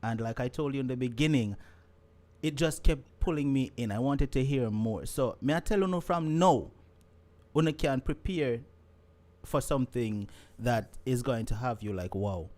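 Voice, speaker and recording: A man's voice: mid-range voice, normal speed, darkish tone. A Jamaican man hosting a live show, encouraging and building things up like a showman. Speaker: host.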